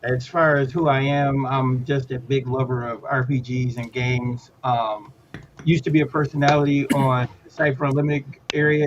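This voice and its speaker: Relaxed man, dull online audio. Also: mid-range voice, slight background noise. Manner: relaxed, lots of ums. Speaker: guest, game designer. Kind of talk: casual chat.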